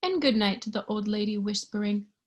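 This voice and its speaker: A woman reading a picture book to young children in a gentle, singsong way, sometimes hushed, asking the listener simple questions with childlike wonder. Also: mid-range voice, fairly quick. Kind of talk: interactive children's read-aloud with questions to the listener.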